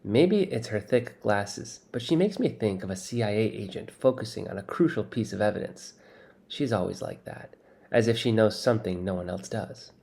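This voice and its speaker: A man reading a first-person children's novel aloud as expressive, scripted narration. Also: lowish voice, normal speed, gravelly. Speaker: audiobook reader.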